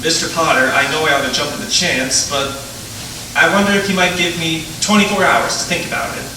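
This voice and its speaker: An actor playing an earnest, excitable small-town young husband, stammering and emphatic, in 1940s radio style. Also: male voice, on the high side, fairly quick, close to an everyday voice. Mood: hesitant. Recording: echoey stage, distant mic, clear noise.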